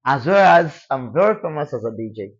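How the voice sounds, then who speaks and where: A young man from Tanzania with a Tanzanian accent, speaking softly and quietly as a guest in a casual interview. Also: medium pitch, very animated, quick pace, lo-fi sound. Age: young adult. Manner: soft-spoken, quiet.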